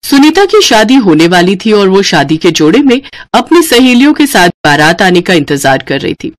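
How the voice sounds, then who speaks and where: Female storyteller with a mid-pitched voice. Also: sing-song, normal speed. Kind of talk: scripted narration of a moral story.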